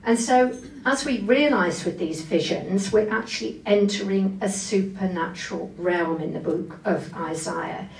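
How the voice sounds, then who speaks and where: A woman's voice: medium pitch, measured pace, gravelly, hardly any pauses, slight background noise. A woman giving an expository Bible sermon, explaining carefully and leaning on key phrases. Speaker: preacher giving a Bible talk. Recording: echoey room, distant microphone.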